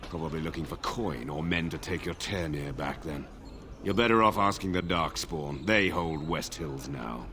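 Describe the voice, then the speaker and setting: An actor playing a bitter, weary older nobleman in a fantasy video game, gruff, curt and dismissive. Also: rumbling low, moderate pace, fairly bright tone, a little hiss or hum. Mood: scornful. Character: bitter, weary older nobleman who lost his sons. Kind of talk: video game dialogue acting.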